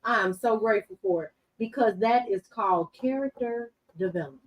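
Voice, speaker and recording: A woman's voice: fairly high pitch, fairly quick, recorded from a distance, low-quality recording. A woman panelist giving faith-based personal testimony in emphatic, repetitive phrases.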